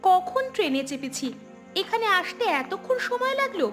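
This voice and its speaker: A woman as a very high-pitched, whiny daughter. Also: sing-song, moderate pace, fairly bright tone, faint music behind, exaggerated voice. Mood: weary complaining. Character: tired, hungry young daughter, a student. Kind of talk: cartoon voice acting.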